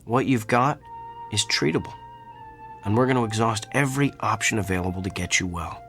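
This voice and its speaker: A compassionate, candid male doctor in a radio drama. His voice is somewhat low, over faint music. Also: brisk, a bit bright. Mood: reassuring.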